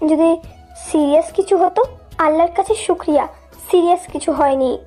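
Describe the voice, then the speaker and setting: A woman's voice: extremely high pitch, brisk, mellow. A woman playing a worried, bossy middle-aged mother who scolds her daughters in a dramatised love story. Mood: worried scolding. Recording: music behind the voice.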